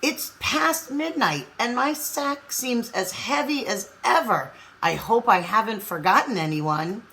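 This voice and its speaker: A woman's voice: on the high side, lively intonation, unhurried, hardly any pauses, pushed and bright, a little hiss or hum, full character voice, poor audio. A woman reading aloud as Santa Claus, a cheerful, reassuring old man in a storybook. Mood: weary sigh.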